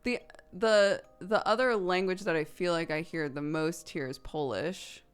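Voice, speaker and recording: A woman in her thirties chats casually as she plays a video game, her voice sitting a little low. She rambles from one thought to the next and breaks into laughter often. Soft game music plays faintly under her desk-mic voice. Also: unhurried, smooth. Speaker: streamer. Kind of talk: casual chat while playing a video game.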